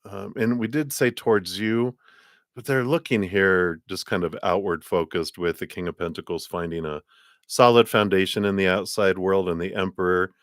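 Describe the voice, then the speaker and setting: Deep-voiced chatty man reading tarot. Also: fairly even intonation, moderate pace, dry recording, studio quality. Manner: rambling, chatty, laughs often. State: tickle in throat, coughing. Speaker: tarot reader hosting a live stream. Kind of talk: casual livestream chat and card reading.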